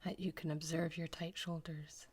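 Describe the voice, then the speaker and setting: A woman leading a guided meditation, speaking softly in a breathy, half-whispered voice with slow, gentle repetition. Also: deep voice, little pitch movement.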